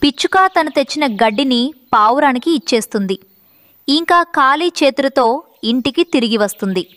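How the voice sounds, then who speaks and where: A woman's voice: high-pitched, animated, moderate pace, a bit bright, no room sound. A woman narrator reading a scripted moral story for children.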